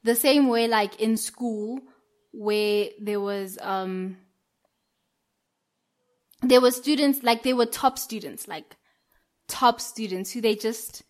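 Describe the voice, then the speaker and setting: A woman's voice: a little high, slow pace, pauses often. A young woman, chatting casually on a podcast, filling her talk with "like" and "um" and laughing often. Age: young adult. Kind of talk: casual chat.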